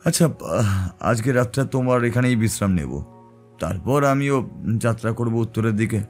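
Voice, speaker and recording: Male actor as wandering mystic sage, medium pitch, reflective audio-story delivery, unhurried manner, background music. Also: animated, darkish tone, natural voice. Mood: regretful. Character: wandering male tantric sage, calm storyteller recounting uncanny tales. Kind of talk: dramatized Bengali audio story narration.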